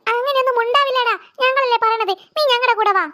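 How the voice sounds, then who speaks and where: A woman's voice: very high-pitched, steady tone, quick pace, pushed and bright. A woman voicing a caring child friend in a children's cartoon, pleading and insistent.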